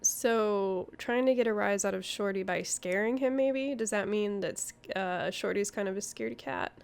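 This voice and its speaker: A woman's voice: medium pitch, normal speed, gravelly, clear, resonant tone. A woman giving casual playthrough commentary, chatty and thinking aloud as she hosts. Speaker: gaming video host. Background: game music.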